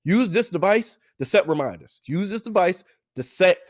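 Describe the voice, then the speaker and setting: A man's voice: sits high, lively intonation, normal speed. A young man with a stuffy, congested voice hosts a motivational podcast, talking with lots of energy and repeating his phrases like a preacher. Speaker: podcast host.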